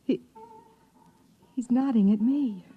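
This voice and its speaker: Highish-voiced nervous wife, pleading, vintage radio drama, light music behind. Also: female voice, slow, slightly rough, phone-quality sound. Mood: frightened. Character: anxious, frightened young wife on the run. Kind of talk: 1950s radio acting.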